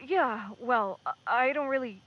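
A woman's voice: on the high side, unhurried, lo-fi sound. A woman playing an earnest, hesitant young male pre-med student in a naturalistic audio drama. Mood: sheepish, confiding.

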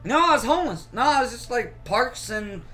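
A man in his thirties with a sharp, bright voice, rambling through personal stories in casual chat. His pitch swings about freely, and he swears as he goes. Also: fairly quick, faint music behind. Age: 30s. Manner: rambling, animated, profane.

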